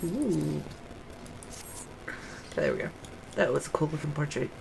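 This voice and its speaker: A woman's voice: low voice, wildly swooping pitch, measured pace, gravelly, noisy background. A woman streamer giving casual commentary while playing a video game, muttering to herself as she plays.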